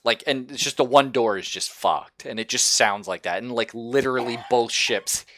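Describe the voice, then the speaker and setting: A man's voice: medium pitch, moderate pace, sharp tone, no room sound, clean studio sound. A man narrating a tabletop horror game as game master in a casual, jokey, sweary way.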